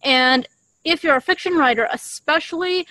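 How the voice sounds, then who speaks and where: Young woman, slightly high voice, conversational, podcast co-host, dry outdoor recording. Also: moderate pace, bright, forceful tone, dry recording, rough recording. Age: young adult. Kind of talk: casual conversational podcast talk. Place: outdoors in a leafy garden. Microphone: shared desktop condenser microphone.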